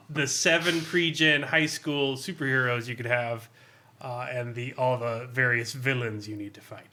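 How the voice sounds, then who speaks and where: A man's voice: medium pitch, bright, forceful tone. An American man giving a casual podcast review with a dry, sardonic manner.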